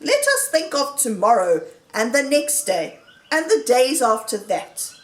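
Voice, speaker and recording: A jubilant storybook fox, acted out by a woman, makes grand, rallying speeches to his friends. The voice is high and swoops with boastful pride, in a roomy space. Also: sing-song, a little slow.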